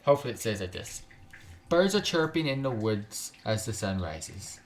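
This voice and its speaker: A man's voice: fairly low pitch, big pitch swings, moderate pace. A man live-streaming a game, chatting casually in a joking, sweary, slangy way and reading text aloud with stumbles.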